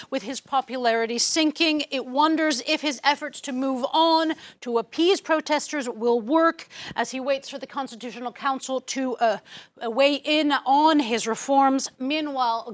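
A woman presenting a broadcast press review in a halting way, with frequent hesitations. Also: high voice.

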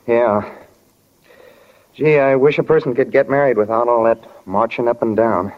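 Actor as an earnest boyish youth, muffled old radio. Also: male voice, medium pitch, fairly even intonation, normal speed, lightly acted. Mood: puzzled, earnest. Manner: boyish, polite, folksy. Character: eager, earnest gangling small-town youth in love. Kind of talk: 1940s radio drama acting. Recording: dull, noisy 1940 radio recording.

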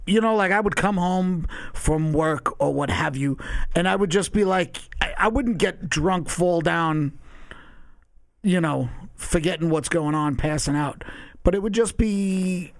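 A raspy man in his forties from Long Island, with gravelly fry running under a fairly high voice. He rambles in a loose, laid-back way and swears freely, recorded dry and close. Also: measured pace, no room sound. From Long Island, New York. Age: 40s. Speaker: podcast guest. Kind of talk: casual chat.

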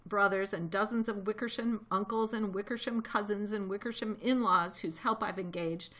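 A woman's voice: medium pitch, fairly even intonation, moderate pace, sharp tone. A woman voicing a bossy, scornful big mother kangaroo from a storybook, in a haughty, scolding, snappish manner. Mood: threatening. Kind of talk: read-aloud storybook character voice.

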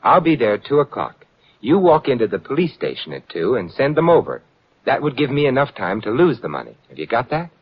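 An actor playing a suave, wry gentleman adventurer in 1940s radio style, with a dry, urbane manner. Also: mid-range voice, very animated, brisk, breathy edge. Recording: muffled vintage radio recording.

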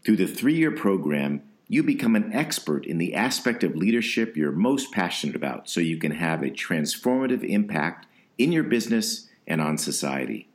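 Deep-voiced guy hosting a podcast, measured, chatty questions. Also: big pitch swings. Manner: measured, reads sponsor copy then asks conversational questions. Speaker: podcast host. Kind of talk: interview podcast.

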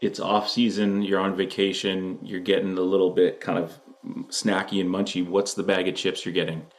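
Man, deep low pitch, podcast host, jokey, slangy, casual interviewing. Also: little pitch movement, normal speed.

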